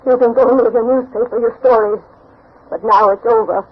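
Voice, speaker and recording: A woman's voice: fairly high pitch, fairly even intonation, brisk, slightly rough. An actress playing a grieving, fearful elderly grandmother in a dramatic, emotional 1940s radio drama style. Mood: anguished, fearful. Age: older. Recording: muffled old radio broadcast.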